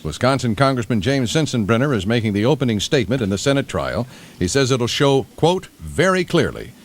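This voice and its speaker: American radio news guy, middle pitch, reads headlines and jokes around with the host. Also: sing-song, a little fast. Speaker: radio newsreader and sidekick. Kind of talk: radio news reading and casual banter.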